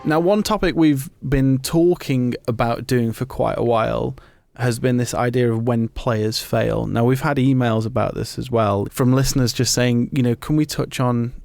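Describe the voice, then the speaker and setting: Gravelly-voiced man hosting a podcast. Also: medium pitch, moderate pace, a little mellow, faint music behind. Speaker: podcast host. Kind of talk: conversational podcast presenting.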